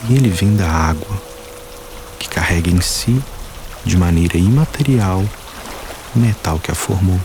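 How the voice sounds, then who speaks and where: A man guiding a meditation, speaking calmly and slowly in short phrases with long pauses. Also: deep voice, noisy background. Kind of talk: guided meditation. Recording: voice-over with music and water sounds. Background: soft music, rain and running water.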